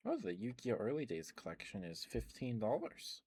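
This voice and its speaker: A man rambling in a soft, meandering ASMR chat, with stammered restarts and fillers, close to the microphone. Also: fairly low pitch, huge pitch swings, moderate pace, a little hiss or hum. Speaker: ASMR streamer.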